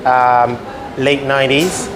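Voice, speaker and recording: A middle-aged man from Barbados speaks as a chef being interviewed. His mid-pitched voice explains things in a measured way, with pauses. There is clear background noise and hum in the room. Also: little pitch movement.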